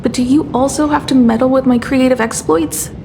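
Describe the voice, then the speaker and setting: Frail but defiant young painter, game drama. Highish, creaky, very slow, rumbling noise behind. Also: female voice, steady tone, a little mellow. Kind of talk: dramatic video game voice acting.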